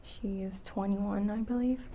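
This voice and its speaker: An eighteen-year-old woman from Iraq, a witness in court, soft-spoken and answering briefly and quietly. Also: mid-range voice, fairly even intonation, measured pace. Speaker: trial witness.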